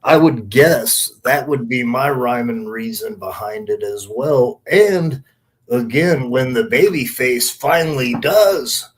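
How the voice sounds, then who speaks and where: A man in casual podcast chat, explaining things in a halting way, repeating and rephrasing as he comments on a match. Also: medium pitch. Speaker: podcast co-host, former wrestler.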